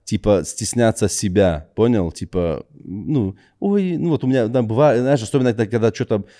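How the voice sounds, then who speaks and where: A man, a guest in a casual chat, telling stories in a lively way with street slang. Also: fairly low pitch, normal speed. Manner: animated storytelling with street slang.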